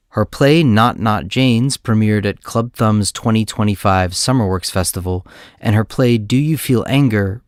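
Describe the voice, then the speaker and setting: A male host reads a prepared introduction to an audio play. His voice sits a little low and is recorded dry and close. The delivery is measured, with each item clearly phrased. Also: dry recording. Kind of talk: scripted introduction, read aloud.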